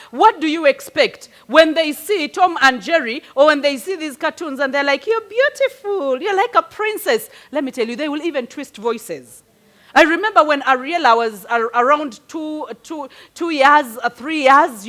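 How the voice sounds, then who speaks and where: High-voiced woman giving animated advice. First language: a local language, not English. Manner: animated, storytelling with rhetorical questions. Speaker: speaker answering parenting questions. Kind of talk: conversational advice talk to a group.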